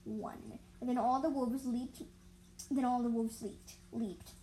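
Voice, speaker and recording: A woman's voice: a little high, little pitch movement, unhurried, mellow, slight background noise, rough recording. A woman reading animal stories as an amateur storyteller, stumbling over and repeating words and adding explanatory asides.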